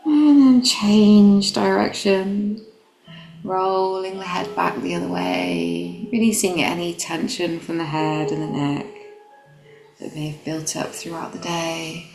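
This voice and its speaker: Slow, low-voiced woman guiding meditation. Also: gravelly. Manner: gentle, calm, instructional. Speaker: meditation guide. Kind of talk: guided meditation. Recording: roomy echo, music behind. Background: background music.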